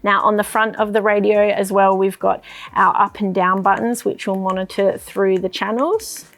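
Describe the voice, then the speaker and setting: A woman's voice: medium pitch, steady tone, normal speed, fairly bright tone. Australian woman casually demonstrating a product. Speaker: product presenter for an outdoor shop's channel.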